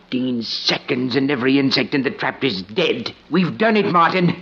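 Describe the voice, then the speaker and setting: An actor playing an obsessed professor who gloats over beating the insect world, in 1940s radio acting style. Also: male voice, medium pitch, lively intonation, normal speed, creaky, a bit bright. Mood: triumphant. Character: obsessed middle-aged male professor, bug hater. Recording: dull lo-fi old radio recording.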